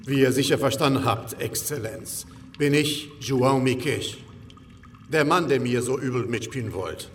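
An actor playing a proud, shrewd and wealthy merchant banker in a German historical radio drama, formal and pointed, courteous with an edge of menace. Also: male voice, medium pitch, moderate pace, natural voice. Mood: cold, commanding. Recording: echoing hall, faint ambient noise.

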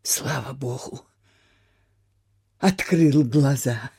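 Worried superstitious village servant lady, slow creaky sighing voice, radio play. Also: extremely low pitch, mellow, full character voice. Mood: anxious, lamenting. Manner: sighing, lamenting folksy speech. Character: fearful, superstitious peasant servant woman, fretting and sighing. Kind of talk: Soviet radio play acting.

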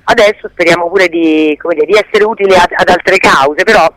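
A woman is a guest in a conversational radio interview. She explains things in halting, self-correcting phrases, and the sound is very dry with no room echo. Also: lowish voice, a bit bright. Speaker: interview guest, actress and association president.

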